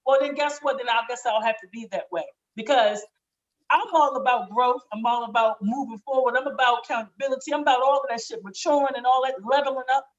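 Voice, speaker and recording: A woman with a raspy voice speaking candidly and emphatically in casual, slangy podcast conversation, swearing freely. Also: a little high, steady tone, quick pace, dull audio. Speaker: podcast guest.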